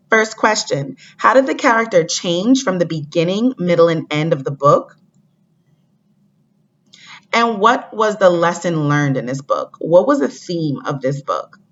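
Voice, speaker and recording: Lowish-voiced woman teacher reading to children, muffled recording. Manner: deliberate, repeats questions, addresses pupils directly. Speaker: elementary school teacher. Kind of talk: read-aloud lesson for children, storybook reading with questions. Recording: dull lo-fi recording.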